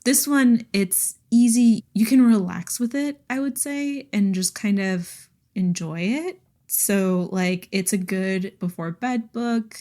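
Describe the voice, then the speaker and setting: A woman's voice: mid-range voice, slow pace, dark tone. A woman chats casually as a podcast co-host. She talks informally and with animation, sprinkling in filler words and breaking easily into giggles. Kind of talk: casual book chat.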